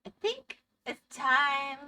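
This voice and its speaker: A woman's voice: high voice, big pitch swings, slow, bright, forceful tone. A woman streaming a game and chatting casually with her viewers while losing her voice. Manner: chatty, reads and answers chat. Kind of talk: casual live-stream chat.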